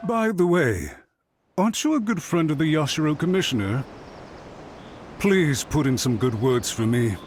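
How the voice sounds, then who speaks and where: A man's voice: on the high side, sing-song, moderate pace, pauses often. An actor playing an anxious, apologetic male official who speaks with deference and pleads for a second chance, in a studio game. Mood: pleading. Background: music.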